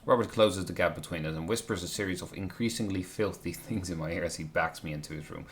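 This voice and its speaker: A man's voice: low-pitched, fast talker. A man reading a visual novel aloud on a stream, breaking off with wry commentary. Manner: wry, interjects remarks on the script. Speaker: game streamer, co-reader. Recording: faint noise on a live stream.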